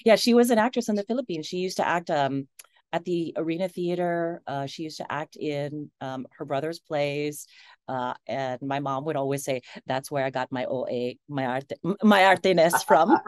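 A low-voiced woman in her forties talks on a video call as an interview guest. She is animated, restarts often and laughs easily. The sound is dry and close. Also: unhurried, dry recording. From United States.

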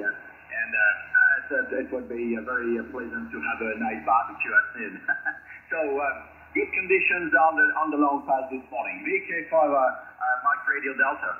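A man from France with a French accent and halting delivery, making casual ham radio contact over shortwave. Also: medium pitch. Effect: shortwave radio transmission. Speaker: amateur radio operator. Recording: noisy, narrow, echoing shortwave radio reception.

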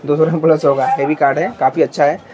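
A man hosts a shop-tour vlog in a market card shop. He talks quickly in a slightly raised voice. He keeps praising the goods and repeating prices for his viewers. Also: a little high, fairly even intonation, a crowd in the background. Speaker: market vlogger.

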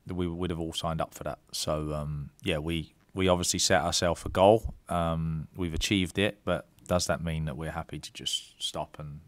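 A man in his thirties, a football manager at a press conference, giving measured answers with frequent ums and restarts into a desk mic. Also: extremely low pitch, fairly even intonation, no room sound. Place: club press room.

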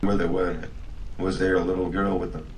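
A man's voice: deep voice, little pitch movement, quick pace, a little hiss or hum, close to an everyday voice. A man playing a determined young adventurer who protects his friends, blunt and casual, in a video game fandub. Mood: demanding. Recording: distant mic under game music.

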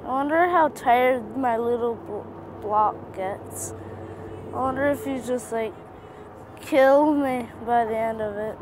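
A woman's voice: fairly high pitch, slow pace. Tired young woman gaming in a car. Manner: sparse, tired chatter with bursts of frustrated outcries and humming. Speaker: mobile game player. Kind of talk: casual gameplay commentary. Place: inside a vehicle. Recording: phone screen recording with vehicle noise. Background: vehicle noise.

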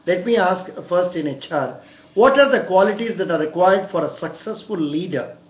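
An older man with an Indian accent conducts a practice interview in an encouraging way, often giving short approvals. His voice sits a little high. The recording is echoey and somewhat dull, made in a room. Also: expressive, normal speed. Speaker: interviewer and career coach. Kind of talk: mock job interview over the telephone. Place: small indoor room.

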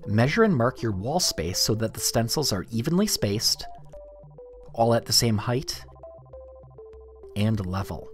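A man reading a scripted DIY tutorial narration in a clear, step-by-step instructional way. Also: medium pitch, sing-song, a little slow, pauses often, soft background music.

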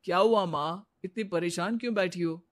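A man voicing a teasing, self-assured young husband in an animated story. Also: high voice, moderate pace, natural voice. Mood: amused concern.

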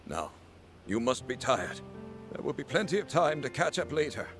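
A man's voice: mid-range voice, sing-song, normal speed, music in the background, natural voice. A man voicing a loyal, courteous old retainer in a video game, formal and deferential. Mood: reassuring.

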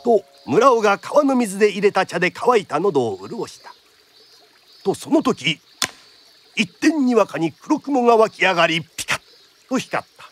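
An actor narrating a period radio drama as a theatrical kodan storyteller, formal and declamatory. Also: male voice, high voice, sing-song, moderate pace, noisy background. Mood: dramatic narration. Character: lively traditional storyteller narrating a period tale.

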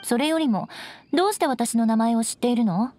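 A woman's voice: a little high, lively intonation, brisk, warm tone, slight background noise, lightly acted. A woman voicing a proud, aloof young noblewoman knight in an anime game, with composed, elegant, haughty phrasing. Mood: cool. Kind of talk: Japanese anime game voice acting. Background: orchestral game music with strings.

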